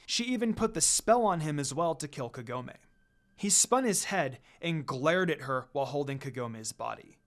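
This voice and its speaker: Higher-voiced man narrating a dramatic story. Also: expressive, moderate pace. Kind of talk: dramatic scripted storytelling, fan fiction reading. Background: music.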